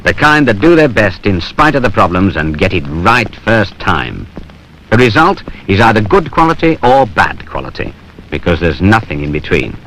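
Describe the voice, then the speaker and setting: A man's voice: fairly low pitch, big pitch swings, normal speed, fairly bright tone. A British man reading plain-spoken, matter-of-fact, colloquial narration for a training film. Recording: noisy 1970s film soundtrack.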